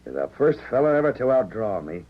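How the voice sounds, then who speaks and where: An actor playing a proud, vengeful hired gunslinger in a 1940s radio western. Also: male voice, fairly low pitch, big pitch swings, fairly quick. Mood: menacing. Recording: tinny, phone-like 1940s radio recording.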